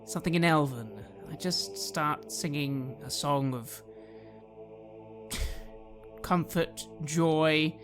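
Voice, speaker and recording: A man's voice: on the high side, takes it slowly, pauses often, music in the background. A man narrating his own character's actions as a player in a tabletop roleplaying game.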